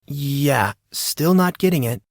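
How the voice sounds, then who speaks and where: A man playing a guarded, awkward and sarcastic teenage boy in an audio drama, answering evasively and a little haltingly. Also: a little high, animated, gravelly, dry recording, studio quality, exaggerated voice. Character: guarded, sarcastic fourteen-year-old boy, awkward and exasperated. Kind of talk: audio drama character dialogue.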